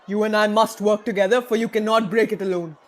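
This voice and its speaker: A solemn king played by a man who has turned rebel, speaking in a high male voice. The delivery is theatrical and resolute, made for an audio drama. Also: steady tone, fast, lightly acted. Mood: defiant. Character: rebellious male king, grave, resolute, turned against his own rule. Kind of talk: theatrical stage-play acting in an audio drama.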